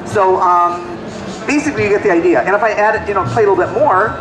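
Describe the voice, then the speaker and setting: Chatty high-voiced man demoing in a hall. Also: quick pace. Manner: chatty, self-deprecating, joking asides. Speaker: software product specialist. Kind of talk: live trade-show clinic demo. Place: large convention hall. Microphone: handheld mic. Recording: echoey hall with music behind.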